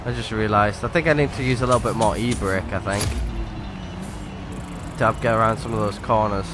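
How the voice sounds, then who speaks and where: A man's voice: fairly low pitch, steady tone, brisk, over music. A man commentating on a racing game casually, a bit nasal from a cold, rambling with lots of ums. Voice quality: slightly nasal from a lingering cold. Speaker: gaming YouTuber. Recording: game audio noise behind. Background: racing game sounds.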